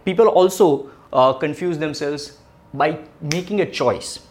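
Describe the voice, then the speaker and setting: A man's voice: a little high, measured pace, darkish tone. A man in his thirties with an Indian English accent, explaining a topic in a casual, chatty video, laughing between points and asking rhetorical questions. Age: 30s. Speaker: online marketing educator.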